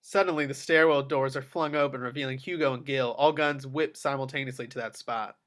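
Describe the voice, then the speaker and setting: A man's voice: mid-range voice, fairly even intonation, fairly quick, a bit bright. A man narrating a screenplay's action descriptions at a table read. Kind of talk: screenplay stage-direction reading.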